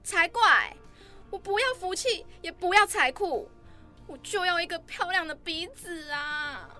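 A petulant, self-conscious schoolgirl played by a woman in an audio drama. Her voice is high and bright, swooping with whiny pleading. Faint music sits behind her. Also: unhurried, heavily acted. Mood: whining, pleading.

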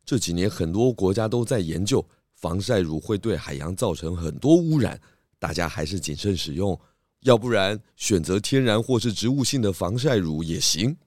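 A man acts a sensible male detective in a children's radio play. The voice is deep and measured, recorded dry, and gently corrects and explains like a mentor. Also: lively intonation, no room sound. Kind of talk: children's audio drama acting.

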